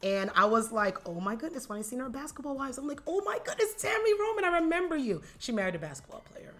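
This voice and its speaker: A woman guest on a podcast, chatting in a gossipy, animated way. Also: high-pitched, fast. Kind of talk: casual podcast chat.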